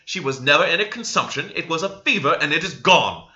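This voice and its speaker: Male reader as young husband, storybook drama: hot-tempered, impatient, emphatic, high sharp delivery. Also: lively intonation, a little fast, muffled recording. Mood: furious denial.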